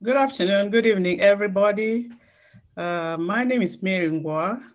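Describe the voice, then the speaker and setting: A middle-aged woman giving an earnest, halting personal testimony before a training presentation. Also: medium pitch, dull audio. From Cameroon.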